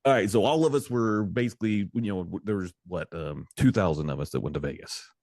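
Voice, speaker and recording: Deep-voiced male podcast host. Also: brisk, a little mellow, no echo, clean studio sound. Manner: rambling, restarts, upbeat hype. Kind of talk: casual video-call podcast chat.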